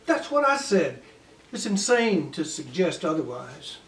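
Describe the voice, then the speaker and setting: A man's voice: fairly high pitch, sing-song, a little slow, a bit bright. A man playing a sleazy, irritable henchman in his late fifties, brash and grumbling, in a comedy script read. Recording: echoey room, distant mic.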